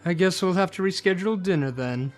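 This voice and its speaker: A man's voice: high voice, a little fast, soft background music, natural voice. A man playing a flamboyant, teasing adventurer in a fantasy radio drama. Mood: casual.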